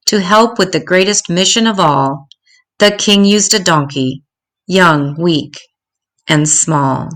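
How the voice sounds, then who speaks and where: Older lady reading a rhyming kids story out loud, really slow and expressive. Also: lowish voice. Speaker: children's storybook reader.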